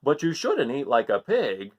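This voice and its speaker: A man's voice: medium pitch, huge pitch swings, unhurried, breathy edge, heavily acted. A man voicing a caring but firm mother goat in a picture-book read-aloud. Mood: gently firm.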